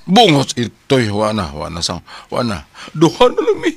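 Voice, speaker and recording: An actor playing an anxious, superstitious husband in a radio drama, with a Cebuano accent and a whiny, fretful manner. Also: male voice, medium pitch, huge pitch swings, normal speed, no echo. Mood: panicky, fretful. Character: anxious superstitious husband who fears dying next. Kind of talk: Philippine radio drama acting.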